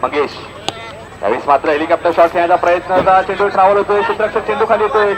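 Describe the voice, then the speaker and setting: High-pitched Maharashtrian man commentating, noisy outdoors. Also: steady tone, very fast. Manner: repeats score lines, chatty asides to listeners. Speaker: cricket commentator. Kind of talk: live local cricket match commentary. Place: outdoors at a cricket ground.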